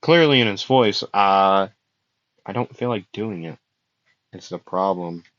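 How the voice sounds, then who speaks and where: A man's voice: lowish voice, a little slow, a bit bright, no room sound, muffled recording. A young man casually telling a fan-made anime story, rambling with fillers and frequent laughs, his voice getting worn out. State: losing his voice.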